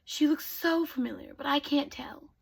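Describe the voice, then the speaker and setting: A woman's voice: high-pitched, normal speed, gravelly. A woman voicing a stern, bossy stepmother in a storybook tale, giving orders.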